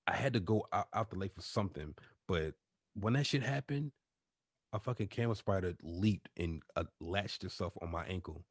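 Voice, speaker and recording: Deep, husky man telling a story. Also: moderate pace, dry recording, studio quality. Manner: animated, profane, repeats words while telling a story. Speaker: podcast co-host. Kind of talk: casual storytelling among friends.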